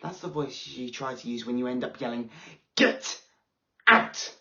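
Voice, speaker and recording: An actress playing an angry excluded pupil who bitterly accuses a teacher, delivering a slow dramatic monologue. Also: female voice, a bass voice, steady tone, vocal fry, lo-fi sound. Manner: bitter, accusing, rising to yelling.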